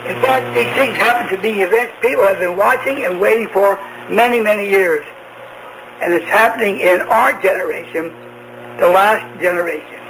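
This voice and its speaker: A man with a high-pitched voice preaches about prophecy on the radio. His delivery is deliberate and emphatic, often doubling back on a phrase. The recording is a noisy shortwave broadcast with an audible hum. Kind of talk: apocalyptic prophecy sermon.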